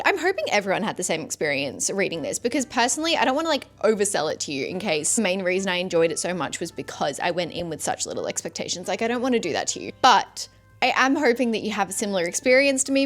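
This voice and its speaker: A woman talking casually and effusively to her viewers as a vlogger, with emphatic, gushing delivery. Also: mid-range voice. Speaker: book vlogger and podcaster. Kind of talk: casual chatty book review to camera.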